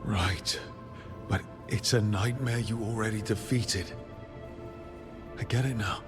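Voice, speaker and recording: An actor playing a steadfast, reassuring heroic companion and leader in a video game, earnest and persuasive. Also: male voice, on the low side, slow pace. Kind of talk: dramatic video game acting. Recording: music behind the voice.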